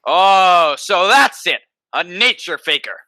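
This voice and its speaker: Bombastic crackpot president, acted by a young man, amateur radio play; sharp, ringing tone, very dry recording. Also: sits high, big pitch swings, slow pace, dry recording, heavily acted. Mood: indignant. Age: young adult. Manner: bombastic, pompous. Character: blustering deluded man who thinks himself President Roosevelt.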